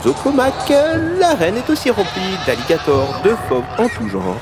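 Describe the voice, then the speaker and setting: An actor playing a scatterbrained, defensive schemer with mock-earnest pedantry in a comedic audio drama. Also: male voice, high-pitched, big pitch swings, moderate pace, vocal fry, exaggerated voice. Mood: excited commentary. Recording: music bed behind the voice.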